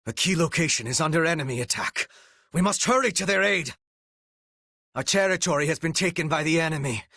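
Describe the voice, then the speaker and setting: Game role: cold, devoted male strategist, raised pitch with animated swings, archaic formal phrasing, menacing rasp. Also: brisk, no room sound, clean studio sound, heavily acted. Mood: brisk command. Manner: formal, archaic, theatrical diction. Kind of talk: video game voice acting.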